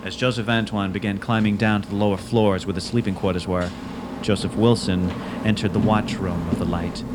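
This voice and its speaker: A man's voice: on the low side, very noisy. A man delivering scripted, dramatic storytelling narration for an audio drama.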